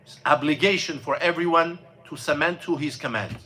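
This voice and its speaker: A man, an imam, giving an informal mosque lesson on scripture, halting and searching for words, with non-native English grammar and frequent fillers. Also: fairly high pitch, sharp tone. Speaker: imam teaching a class.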